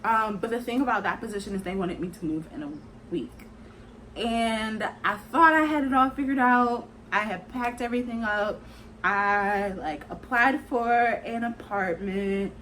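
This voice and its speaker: A woman's voice: fairly high pitch, unhurried, a bit bright, a little hiss or hum. A woman in her twenties talking casually to camera in a chatty, rambling way, filling her speech with "like" and "kinda". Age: 20s. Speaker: vlogger.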